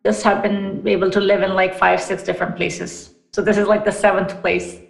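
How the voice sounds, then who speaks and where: A woman's voice: medium pitch, little pitch movement, normal speed, vocal fry. A woman from Pakistan, raised in the US, guesting on a podcast and chatting in an upbeat way with lots of "like" and "you know". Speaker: podcast guest, wellness business founder. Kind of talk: casual interview chat. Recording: echoey room.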